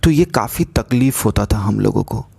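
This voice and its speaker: A man presenting news commentary and reading out posts in a deliberate way, halting in English. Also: fairly low pitch, lively intonation, creaky, a little mellow, husky. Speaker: host of a news commentary channel.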